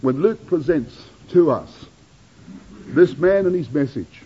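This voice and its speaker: A man preaching in a high, animated voice that swoops and climbs through each phrase. His tone is husky, and the recording sounds dull and lo-fi. He addresses his listeners as brethren and sisters. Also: slow. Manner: dramatic rises and drops in pitch and loudness. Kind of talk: sermon.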